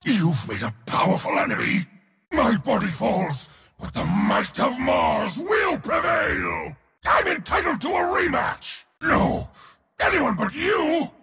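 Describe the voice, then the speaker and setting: A cocky god of war game voice, slow and booming, gravelly edge, big hall reverb, loves battle. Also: male voice, big pitch swings, pushed and bright, raised voice, exaggerated voice. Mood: defiant outrage. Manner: booming, declamatory, gloating, short battle cries. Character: bombastic, arrogant male god of war relishing battle. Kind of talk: theatrical video game character voice lines.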